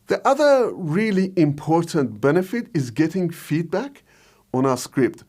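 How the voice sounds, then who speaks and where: A man's voice: a little high, sing-song, unhurried, darkish tone. A man presenting a scripted explainer as a video host and filmmaker. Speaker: video host, short film director.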